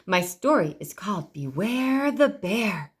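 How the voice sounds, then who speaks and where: A woman's voice: medium pitch, big pitch swings, takes it slowly, slightly rough, heavily acted. A woman voicing a silly, cheerful male penguin who tells stories in a playful, corny way. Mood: eager storytelling. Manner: playful, corny joke delivery.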